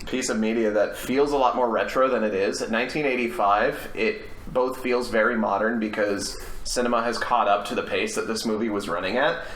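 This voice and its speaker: A man's voice: lowish voice, a bit bright. A man chatting casually and jokingly about films as a podcast panelist among friends. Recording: echoey room, faint background noise.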